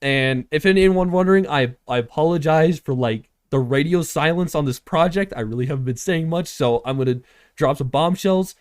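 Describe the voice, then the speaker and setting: A man's voice: a little high, moderate pace, smooth, dry recording. Casual, rambling young man, dry sound. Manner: informal, rambling, heavy use of "like". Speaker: filmmaker and host. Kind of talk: casual project update.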